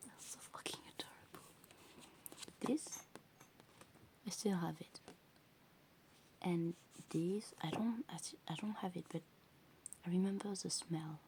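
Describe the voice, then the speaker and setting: A woman with English as a second language, whispering softly in a rambling ASMR show-and-tell full of fillers. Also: lowish voice, unhurried, pauses often, a little hiss or hum. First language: not English. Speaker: ASMR creator.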